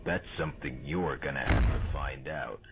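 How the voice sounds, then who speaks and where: A man's voice: rumbling low, normal speed, creaky. A man voicing a creepy adult stranger who menaces children, gloating and threatening. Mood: menacing. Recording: lo-fi phone-like animation audio, noisy.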